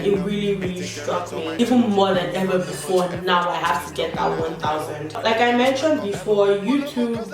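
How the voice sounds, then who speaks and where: A young woman chatting candidly while doing her makeup, with slangy asides. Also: medium pitch. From Nigeria. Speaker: beauty vlogger. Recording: echoey room, distant mic, background music. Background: background music.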